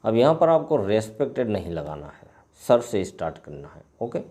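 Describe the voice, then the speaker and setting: A man with an Indian accent, a native Hindi speaker, teaching line by line and repeating phrases deliberately as he translates. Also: fairly low pitch, big pitch swings, warm tone. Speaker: English teacher. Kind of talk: line-by-line bilingual lesson, reading and translating.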